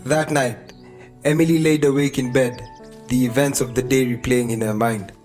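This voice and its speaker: A man's voice: medium pitch, steady tone, normal speed, a little mellow. A man with a Kenyan accent reading scripted radio drama narration, with music behind him. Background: background music.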